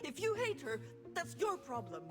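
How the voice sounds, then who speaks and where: A woman's voice: sits high, wildly swooping pitch, normal speed, music in the background. An actress playing a headstrong, combative and loyal adult woman, speaking bluntly and insistently in a naturalistic video game drama. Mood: angry, accusing.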